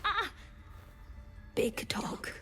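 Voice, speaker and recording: An actress voicing a frail, weary talking bird in a video game, speaking in halting, breathy bursts. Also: female voice, sharp tone, whispered, soft background music. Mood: dazed, pained. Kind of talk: video game creature acting.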